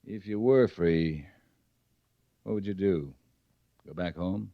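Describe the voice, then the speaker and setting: A frontier cavalry captain with a low voice. He is measured, terse and commanding, in the manner of fifties Western radio drama. The recording is old and muffled, with very little room sound. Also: creaky, dry recording, exaggerated voice. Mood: stern, measured.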